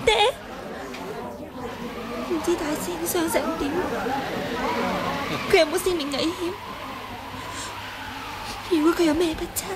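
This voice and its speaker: A woman's voice: extremely high pitch, fairly even intonation, unhurried, long pauses, a loud crowd, heavy background noise. An actress playing a bold, crafty young swordswoman in a Hong Kong Cantonese radio drama, with polite, artful manners. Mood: anxious. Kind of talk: 1980s radio drama acting. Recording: 1980 radio drama, busy sound-effects background.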